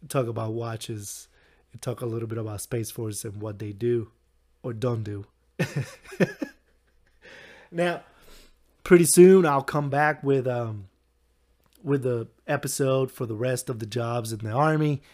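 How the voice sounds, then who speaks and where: Hoarse man hosting a casual podcast. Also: mid-range voice, a little mellow. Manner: rambling, halting, frequent restarts; chuckles often. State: hoarse, recovering voice. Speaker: podcast host. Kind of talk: casual solo podcast talk.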